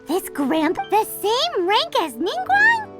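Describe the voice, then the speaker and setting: A woman voicing a tiny, childlike, excitable and inquisitive companion creature in a video game. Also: extremely high pitch, slow, heavily acted. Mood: astonished. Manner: exclaiming, inquisitive. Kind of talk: scripted video game voice acting. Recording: loud tinkling music behind the voice.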